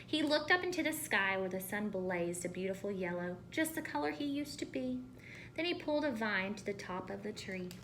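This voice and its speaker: A woman reading a storybook aloud to children as a teacher, expressive and pausing to ask questions. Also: medium pitch, a little hiss or hum, low-quality recording.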